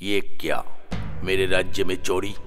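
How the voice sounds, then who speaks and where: A man's voice: sits low, fairly even intonation, a little slow, in a large hall, music in the background. A man voicing a stern, commanding king in a children's cartoon.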